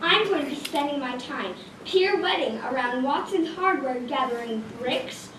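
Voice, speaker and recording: Woman as very high-voiced diligent schoolgirl puppet. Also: animated, normal speed. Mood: exasperated. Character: diligent, proud girl student puppet who loves to twirl. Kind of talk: community puppet show acting. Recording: echoey room, distant mic, clear noise.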